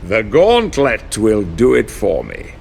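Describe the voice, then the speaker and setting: Gloating, creaky-voiced dark sorcerer. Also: male voice, on the low side, huge pitch swings, a little hiss or hum, heavily acted. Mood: smug, taunting. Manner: theatrical, smug, deliberate delivery. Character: scheming, gloating dark sorcerer lord, male, ancient villain. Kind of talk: dramatic video game villain monologue.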